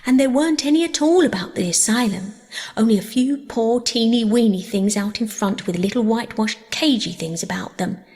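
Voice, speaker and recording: A woman's voice: fairly high pitch, normal speed, a little mellow, natural voice. Audiobook child role, performed by a woman: talkative, wordy, starry-eyed young orphan girl; long hall reverb. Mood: gushing, chatty. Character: chatty, dreamy, eager young orphan girl. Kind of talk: audiobook character voice.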